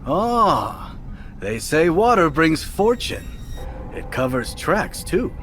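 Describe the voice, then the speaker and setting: An actor playing a thoughtful fortune-teller in a historical Chinese game drama, with a measured, deliberate delivery. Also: male voice, fairly high pitch, big pitch swings, a bit bright, a little hiss or hum, heavily acted. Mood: scheming. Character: thoughtful fortune-teller man in a heist crew.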